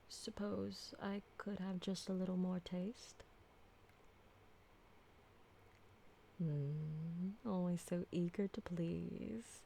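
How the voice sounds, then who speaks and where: Woman as ancient vampire mistress for ASMR roleplay: genteel, doting, unhurried, with a creaky edge. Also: fairly low pitch, a little hiss or hum. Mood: hungry, coaxing. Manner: soft-spoken, genteel, tender, formal wording. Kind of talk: intimate ASMR roleplay acting.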